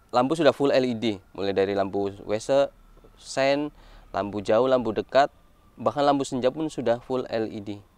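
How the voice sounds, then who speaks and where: A man's voice: mid-range voice, darkish tone, clear, resonant tone, no room sound. A man giving a casual walkaround car review, explaining things informally point by point.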